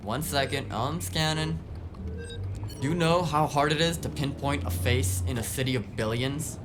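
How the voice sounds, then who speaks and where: A man's voice: mid-range voice, measured pace, close to an everyday voice. Man playing grumbling sarcastic tech whiz, music underneath. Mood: wry. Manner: sardonic, rambling, casual. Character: grumbling, foul-mouthed, sarcastic male tech whiz driver. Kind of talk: cyberpunk audio drama dialogue.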